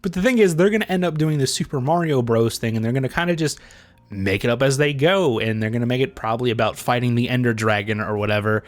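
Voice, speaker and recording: A man's voice: medium pitch, fast, no room sound. Blunt man giving dry, close-miked commentary. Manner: blunt, sarcastic, heavily profane. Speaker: video essay host. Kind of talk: casual opinionated commentary.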